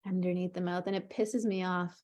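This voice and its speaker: A woman coaching an emotional tapping session, calmly leading her client through short phrases to repeat, in an encouraging way. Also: lowish voice, fairly quick. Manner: calm, encouraging, leads with short prompts.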